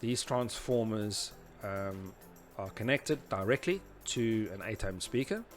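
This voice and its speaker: A man in his forties giving an informal technical tutorial in a halting, explanatory way. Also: on the low side. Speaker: presenter teaching audio engineering. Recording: music behind the voice. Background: background music.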